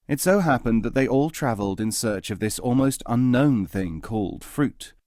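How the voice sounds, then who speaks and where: A man narrating scripted tales as an audiobook reader, in a measured, articulate storytelling manner. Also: mid-range voice, lively intonation, a little mellow, dry recording, studio quality.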